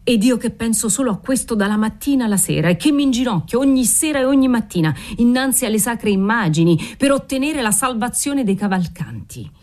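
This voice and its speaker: A woman's voice: on the low side, sing-song, moderate pace. Woman reading as a volatile old nobleman. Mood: furious. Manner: swings from dreamy murmuring to furious shouting; fervent, obsessive. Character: aging nobleman, desperate, volatile, pious father.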